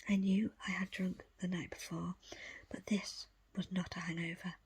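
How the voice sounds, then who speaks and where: A woman reading a book aloud as ASMR, in a breathy, unvoiced whisper with a slow, hushed storytelling delivery. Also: fairly low pitch, flat delivery. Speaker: audiobook reader.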